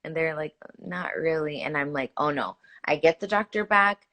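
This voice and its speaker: A young woman nurse talks earnestly and with feeling, often restarting her sentences and filling gaps with "like." Her voice sits fairly low but sounds bright and forceful. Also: normal speed. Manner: earnest, animated, frequent "like" fillers and restarts. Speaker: hospital nurse. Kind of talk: conversational interview answers.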